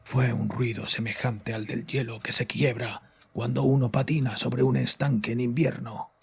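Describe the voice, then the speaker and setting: A man playing a haunted, guilt-ridden father confessing in a bitter, cold way, with a raspy voice, in a horror audio drama. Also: mid-range voice, steady tone, normal speed. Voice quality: raspy, husky. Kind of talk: dramatic audio fiction monologue.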